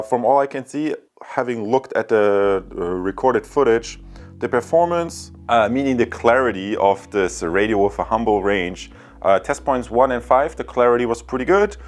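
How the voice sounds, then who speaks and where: A man from Europe giving a casual tech product review in a hesitant, rambling way. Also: lowish voice.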